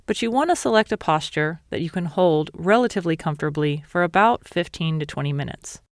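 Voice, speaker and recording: A woman meditation teacher leading a guided meditation in a calm, measured, instructional way. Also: lowish voice, no room sound, studio quality.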